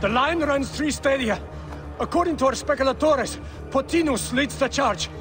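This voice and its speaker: An actor playing an urgent Roman officer reporting from battle in a cinematic video game, clipped and military. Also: male voice, very high voice, moderate pace, music in the background.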